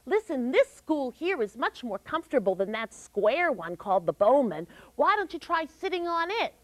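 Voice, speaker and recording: A woman's voice: high voice, sing-song, normal speed, dry recording, voice pushed up. A woman voicing a sly female trickster who coaxes and wheedles. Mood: coaxing. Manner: wheedling, coaxing.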